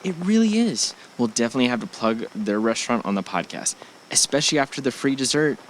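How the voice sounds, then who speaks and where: Guy acting young husband in an audio drama, casual n reassuring, normal pitch, bit of background noise. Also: lively intonation, fast, natural voice, poor audio. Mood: relaxed. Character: young husband and travel podcaster, affectionate, calmly reassuring. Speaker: actor in an amateur radio drama. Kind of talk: naturalistic audio drama dialogue.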